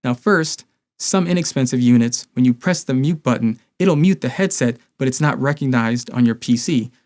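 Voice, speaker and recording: A man's voice: medium pitch, normal speed, a little mellow. A man in his thirties presenting a scripted explainer to camera as a review host. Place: small indoor home studio.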